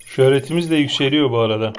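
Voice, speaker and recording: A man's voice: mid-range voice, fast. A man giving casual, chatty gameplay commentary, thinking aloud to his viewers. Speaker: gaming video host. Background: loud game music behind the voice.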